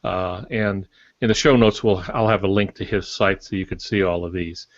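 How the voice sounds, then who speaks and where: An older man co-hosting a podcast, explaining casually in measured, hesitant phrases. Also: low-pitched, fairly even intonation, no echo, muffled recording. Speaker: podcast co-host.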